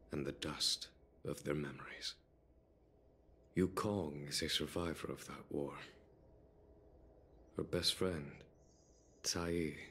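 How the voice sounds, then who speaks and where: An actor playing a calm, dignified army general in a video game, speaking in measured, formal, eloquent phrases with gentle wryness. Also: male voice, sits low. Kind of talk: dramatic video game dialogue. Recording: game music behind.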